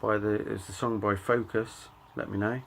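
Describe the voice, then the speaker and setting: A middle-aged man giving a casual show-and-tell of his collection, talking in a halting, offhand way and reading out titles in short bursts. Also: lowish voice, level tone, vocal fry, a little hiss or hum. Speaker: record collector and channel host.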